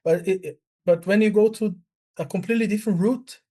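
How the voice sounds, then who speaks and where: A man from Brazil with an Italian accent, a native speaker of Italian and Portuguese, chatting casually in a halting, blunt way. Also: high-pitched, warm tone. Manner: halting, repetitive, blunt with swearing. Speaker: language learner. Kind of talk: casual chat.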